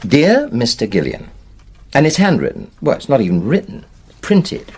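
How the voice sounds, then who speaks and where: An actor playing a middle-aged publisher and husband in a naturalistic radio play, with an English accent and a conversational, dryly humorous, slightly hesitant way of talking. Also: male voice, mid-range voice, huge pitch swings, normal speed, a little mellow, slightly rough, exaggerated voice. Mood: wry.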